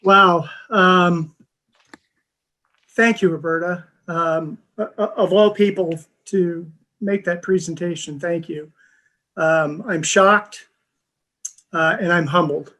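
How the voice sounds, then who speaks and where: A man with a fairly high voice gives an acceptance speech on a video call. He speaks earnestly and haltingly, with frequent pauses. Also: steady tone, pushed and bright. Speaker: award recipient. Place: video call from an indoor room. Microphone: video call microphone.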